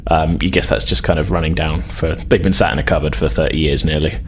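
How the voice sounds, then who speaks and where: A man narrating a tabletop game as game master, improvising in a casual, halting way. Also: extremely low pitch, fairly even intonation, a little hiss or hum.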